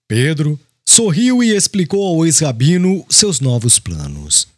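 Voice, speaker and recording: A man narrating a literary audiobook in a formal, expressive reading style. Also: on the high side, unhurried, no room sound, clean studio sound.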